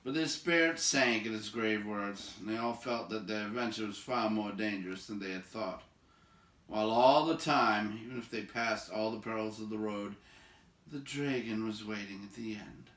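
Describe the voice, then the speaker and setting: A man hosts a storybook read-aloud, moving between narration and informal commentary. His voice sits a little low but has a bright, forward edge. The room adds a clear echo around his words. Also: normal speed. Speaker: read-aloud host and reader. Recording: roomy, echoing home recording.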